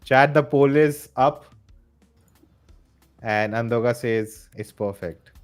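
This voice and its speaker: A man's voice: medium pitch, slow pace, clean tone, faint music behind. A man hosting a live stream, upbeat and reading out chat votes. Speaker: stream host.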